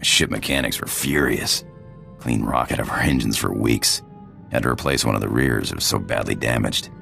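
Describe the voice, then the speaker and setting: A man playing a cocky, roguish space pirate in a video game, with a gravelly drawl, casual slang and a flirtatious swagger. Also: normal speed, bright, forceful tone, faint music behind, heavily acted. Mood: breezy, storytelling. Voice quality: gravelly, very deep voice. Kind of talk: video game character acting.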